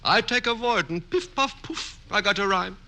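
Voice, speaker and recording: A man's voice: high-pitched, animated, moderate pace, pushed and bright, heavily acted. An actor playing a wisecracking male tailor in a 1940s radio comedy, delivering punchlines in a sing-song manner. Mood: cheeky. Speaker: tailor character. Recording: old radio broadcast with hiss.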